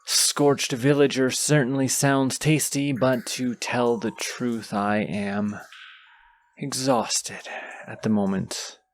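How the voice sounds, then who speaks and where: A man voicing a menacing, haughty wounded dragon in a fantasy audio roleplay, speaking in a deliberate, pompous way that savors each threat. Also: mid-range voice, steady tone, natural voice. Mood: bitter, weary.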